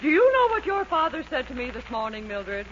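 An actress playing a sardonic, loud-mouthed middle-aged mother in a 1940s radio comedy. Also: high-pitched, very animated, a little fast. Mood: sardonic, amused. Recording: muffled, crackly old radio recording.

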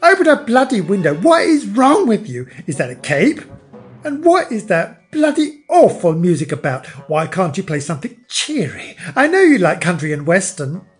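A man's voice: high voice, big pitch swings, normal speed, faint music behind, voice pushed up. A man voicing an overbearing, blunt older mother who scolds and nags her grown son in a storybook reading. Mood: exasperated, scolding. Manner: brash, nagging, exclamatory.

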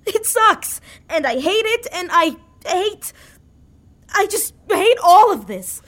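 A woman playing an anxious, grief-stricken young woman in an audio drama, speaking haltingly and repeating words. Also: extremely high pitch, sing-song, a bit bright, full character voice. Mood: distraught. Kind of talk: naturalistic audio drama acting.

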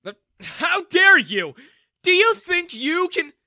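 A man voicing a sneering, hot-tempered middle-aged father who shouts and mocks in a storybook narration. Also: extremely high pitch, sing-song, normal speed, no room sound, clean studio sound, pitched above the performer's own voice, exaggerated voice. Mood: furious. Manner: shouting, mocking.

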